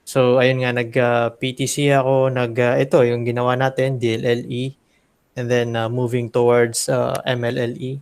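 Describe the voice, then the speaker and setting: A man with a Filipino accent, a teacher and graduate student, speaking haltingly and self-deprecatingly in a class discussion over a video call. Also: mid-range voice, completely flat delivery, a little mellow, clear, resonant tone. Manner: halting, self-deprecating, mixes Tagalog and English.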